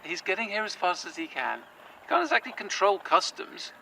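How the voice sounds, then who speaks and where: A man's voice: sits high, very animated, brisk, creaky, sharp tone, a little hiss or hum, heavily acted, low-quality recording. An actor playing a nervous, earnest young man who stumbles over his words. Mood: warm teasing. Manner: nervous, earnest, hesitant with fillers. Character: anxious, hopeful young man, possessed. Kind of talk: audio drama acting.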